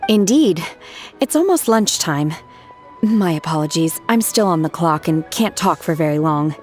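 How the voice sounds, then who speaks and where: A composed young woman disciple voiced by a woman actor with a slightly low voice. She is earnest, blunt and polite, in game dialogue over faint music. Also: expressive, moderate pace, close to an everyday voice. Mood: calm, polite. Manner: polite, matter-of-fact, earnest. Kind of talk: video game voice acting. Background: background music.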